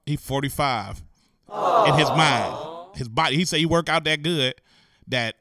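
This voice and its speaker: A man with a forceful, bright voice and slightly high pitch hosts with animated, slangy comic commentary. He sounds joking and incredulous, and is recorded close and dry. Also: a little slow, dry recording. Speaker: host. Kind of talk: comic commentary on news stories.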